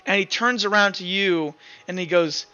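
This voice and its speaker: A man running a tabletop game as game master, narrating in a casual, halting, conversational way. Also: sits high, bright, forceful tone, clean tone, highs cut off.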